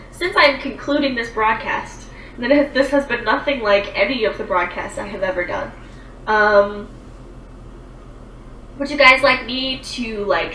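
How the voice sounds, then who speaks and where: A woman's voice: high voice, pushed and bright, roomy sound, recorded from a distance, a little hiss or hum, poor audio. A woman in her twenties chatting casually on a livestream, rambling with lots of fillers as she answers viewer comments. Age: 20s.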